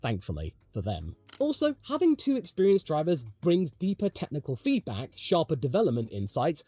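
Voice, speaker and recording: Man, lively swooping intonation, emphatic, conversational, opinionated sports commentary, dry studio-like sound. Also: on the high side, normal speed, no echo. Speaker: motorsport commentator, channel host. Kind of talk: opinionated video essay commentary.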